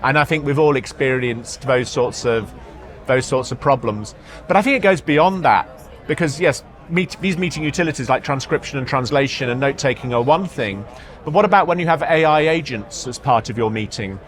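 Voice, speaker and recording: A man speaking as an executive in a product interview, halting, often repeating words and hesitating as he explains. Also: medium pitch. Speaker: marketing executive being interviewed. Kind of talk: product interview at a trade show. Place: trade show floor.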